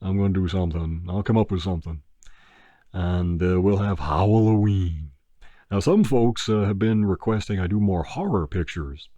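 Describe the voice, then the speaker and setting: A man's voice: sits low, normal speed, dark tone, dry recording, lo-fi sound. A man hosting his own channel, chatting casually in a rambling, laid-back way with lots of ums and uhs. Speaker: movie review channel host. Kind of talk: casual podcast-style chat.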